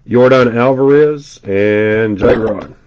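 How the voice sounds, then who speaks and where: A man's voice: mid-range voice, slow pace, lo-fi sound. A congested man doing casual live commentary as he opens trading card packs, rattling off player names with chatty asides. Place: indoor room at a table.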